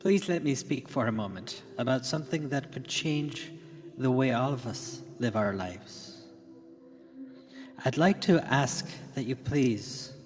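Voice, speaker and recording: An earnest tech leader played by a man delivers a solemn keynote in a radio drama. The delivery is slow and deliberate, with pauses between phrases. Long hall reverb surrounds the voice, and music plays behind it. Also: medium pitch, a little mellow, lightly acted. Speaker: keynote speaker.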